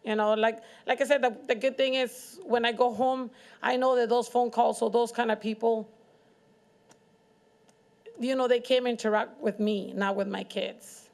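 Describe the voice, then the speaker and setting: A woman with a Mexican accent sharing her own experiences at a community forum, haltingly and in search of words. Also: fairly high pitch, fairly even intonation, gravelly, a little mellow. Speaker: community panelist, business owner. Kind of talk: personal testimony at a community forum.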